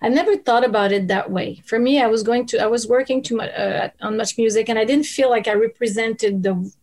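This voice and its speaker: A woman with a French Canadian accent, a former TV host, telling stories in an interview, lively and laughing often. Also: fairly high pitch. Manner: lively, laughing, quick bursts of speech. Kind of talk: storytelling interview.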